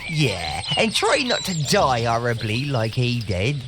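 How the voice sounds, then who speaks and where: Wary peasant, lively pitch. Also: male voice, slow, background noise. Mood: suspicious. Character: wary, grumbling peasant man guarding his village. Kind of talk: comic video game character acting.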